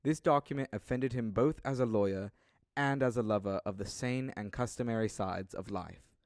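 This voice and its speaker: Deep-toned young man narrating, dry sound. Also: normal speed, no room sound. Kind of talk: scripted literary narration, audio drama.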